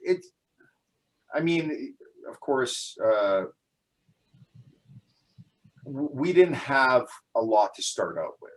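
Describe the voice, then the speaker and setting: A man's voice: medium pitch, animated, very slow, pauses often, rough recording. Hesitant man in his forties. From Canada. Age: 40s. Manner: hesitant, many fillers and restarts; laughs often. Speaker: guest. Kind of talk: casual interview talk.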